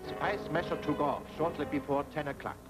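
A man's voice: fairly high pitch, a little fast, over loud music, natural voice. A man playing a commanding, formal villainous spy chief in a 1940s film serial, on an old soundtrack. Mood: stern, certain.

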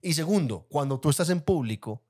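A man's voice: a little high, expressive, a little fast, darkish tone, breathy edge. A young man co-hosting a casual podcast, teasing and arguing his points. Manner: teasing, argumentative. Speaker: podcast co-host. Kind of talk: casual chat.

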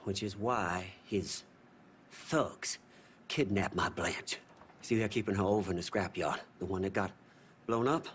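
An actor playing a wordy, theatrical crooked lawyer with florid, precise speech in a video game. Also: male voice, low-pitched, measured pace, a bit bright, a little hiss or hum. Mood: smooth, aggrieved. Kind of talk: video game cutscene acting.

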